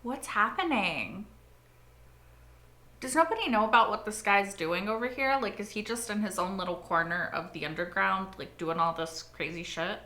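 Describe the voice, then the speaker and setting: A woman's voice: medium pitch, lively intonation, moderate pace, pushed and bright, slight background noise. A woman hosting a reaction video, making chatty, reflective asides. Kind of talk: casual reaction commentary. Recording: echoey room.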